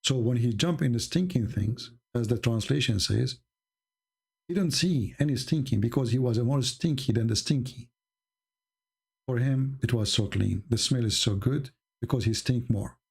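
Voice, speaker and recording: Gravelly, husky man mocking a caller. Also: mid-range voice, moderate pace, a little mellow. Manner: mocking, sarcastic, impatient. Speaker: livestream host. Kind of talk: argumentative livestream talk.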